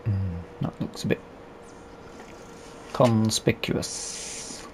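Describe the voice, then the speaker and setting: Slow-paced, gravelly British man gaming. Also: lowish voice, faint music behind. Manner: muttering to himself while playing. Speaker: gaming video host. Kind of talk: casual let's play commentary.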